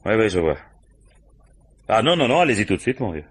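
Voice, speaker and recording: Deep-voiced, world-weary police commissioner. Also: male voice, very animated, brisk, slightly rough. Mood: brisk, businesslike. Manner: colloquial, muttering, dryly ironic. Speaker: actor playing a police commissioner. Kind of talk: French radio crime drama acting.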